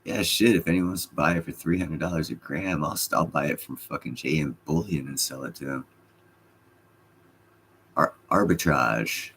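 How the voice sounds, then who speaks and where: Low husky-voiced man, profane and laughing. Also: moderate pace, a bit bright. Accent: slight Scottish. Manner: profane, laughing, loose and joking. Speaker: livestream host reading chat. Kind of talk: casual rambling livestream chat.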